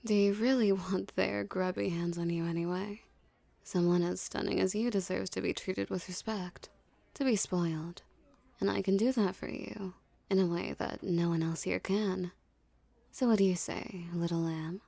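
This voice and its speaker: A woman playing a dominant, seductive mafia boss in an ASMR roleplay, with a soft, teasing, condescending drawl and menacing self-assurance. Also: on the low side, fairly even intonation, normal speed, vocal fry, no echo. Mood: coaxing, self-assured.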